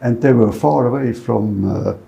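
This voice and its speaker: Middle-aged male ex-executive, creaky and warm, conversational interviewee. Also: medium pitch, normal speed. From Switzerland. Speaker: former company executive, interviewee.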